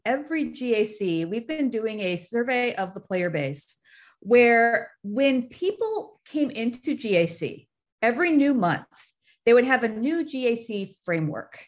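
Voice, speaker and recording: A woman guest on a podcast interview, explaining things methodically and in detail, step by step. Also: on the high side.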